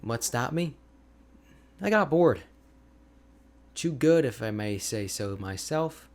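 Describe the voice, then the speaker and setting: A man acts out an energetic male ice mage hermit for a fantasy ASMR roleplay. He is chatty and boastful, speaking at an unhurried pace in a mid-pitched male voice. The delivery is playful and expressive. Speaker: audio roleplay voice actor.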